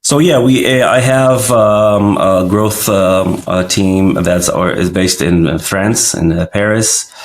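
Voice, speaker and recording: Brief: male founder from Israel, interview guest, halting, lowish voice. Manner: hesitant, many fillers and restarts. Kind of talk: casual interview answers.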